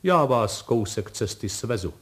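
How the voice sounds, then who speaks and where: A man playing a hollow-voiced, funereal gentleman. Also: lowish voice, sing-song. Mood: mournful. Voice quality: hollow, sepulchral. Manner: slow, mournful, formal.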